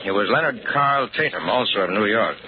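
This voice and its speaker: A man playing a calm, take-charge police detective sergeant in an old-time radio drama, brisk, authoritative and reassuring. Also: lowish voice, lightly acted. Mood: brisk, businesslike. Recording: noisy muffled old radio recording.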